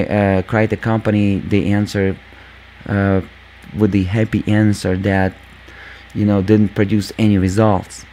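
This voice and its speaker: A man hosting a gaming channel, talking casually over gameplay with a squeaky voice, lots of fillers, and calling viewers "guys". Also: a little mellow, a little hiss or hum. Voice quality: squeaky, just-woken voice. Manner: rambling, many fillers, addresses viewers as guys.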